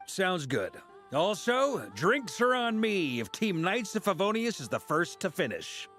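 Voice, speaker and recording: An actor playing an easygoing, teasing young knight in a video game, with a casual, playful delivery. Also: male voice, high voice, big pitch swings, unhurried, fairly bright tone, faint music behind, full character voice. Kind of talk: video game character acting.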